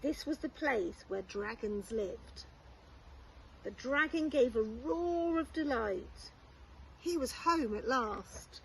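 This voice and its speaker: A woman reading a children's storybook aloud expressively, like a primary school teacher, with measured, deliberate phrasing. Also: on the high side, animated, gravelly, slight background noise, rough recording.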